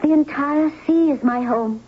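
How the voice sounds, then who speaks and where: High-voiced, slow-speaking gentle sea maiden. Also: female voice. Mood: resigned. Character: gentle young sea woman, otherworldly, devoted, fated lover. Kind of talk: 1970s radio drama acting. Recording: muffled, noisy 1970s radio recording.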